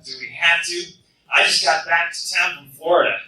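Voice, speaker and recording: A man's voice: a little high, fairly even intonation, brisk, noisy background, close to an everyday voice. An actor playing cocky, wisecracking teenage boy superheroes with a glib, bantering manner in a radio play. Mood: skeptical, wisecracking. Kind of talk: radio play acting before a live audience. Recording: very echoey hall fan recording, distant.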